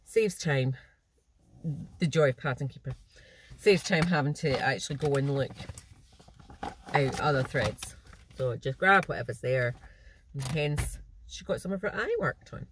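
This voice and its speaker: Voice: medium pitch, a little slow, pauses often, noisy background. A cross-stitch vlogger with a naturally nasal voice and a slightly blocked nose, chatting casually and rambling to the camera from a parked car. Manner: chatty, rambling, with false starts and small laughs.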